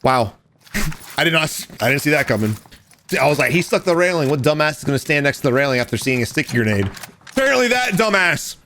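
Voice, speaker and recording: A man casually commenting over game footage, animated and profane, talking straight to his viewers, his voice going a little hoarse. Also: fairly high pitch, fast, slight background noise. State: losing his voice. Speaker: gaming commentator.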